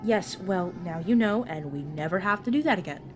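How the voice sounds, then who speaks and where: A woman's voice: lowish voice, lively intonation, a little fast, background noise, natural voice. A woman playing a sassy, protective escort to a new vampire in an audio roleplay, teasing and caring in manner. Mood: teasing, exasperated. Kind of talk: narrative audio roleplay acting.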